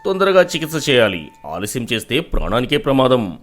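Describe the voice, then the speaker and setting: A man's voice: medium pitch, big pitch swings, brisk, lightly acted. Hard-hearted doctor played by a man for a story video: authoritative, stern, strongly inflected. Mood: grave. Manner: harsh, authoritative.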